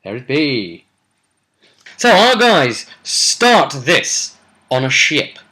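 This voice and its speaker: Bright-voiced British man telling game stories. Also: fairly high pitch, extremely slow, rough recording. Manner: animated, chatty, acts out brief quotes. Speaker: host and game master recapping sessions. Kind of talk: casual rambling storytelling recap of a tabletop role-play.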